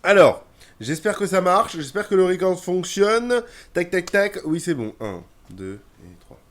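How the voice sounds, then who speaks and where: A young man with a head cold gives casual commentary on his own gameplay, chatty and quick to grumble and swear when frustrated. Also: high voice, big pitch swings. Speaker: gaming youtuber.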